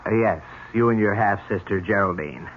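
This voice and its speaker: An actor playing a polite, probing police lieutenant with a deliberate, courteous way of questioning, in old-time radio drama. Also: male voice, lowish voice, close to an everyday voice. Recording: dull, noisy old radio recording.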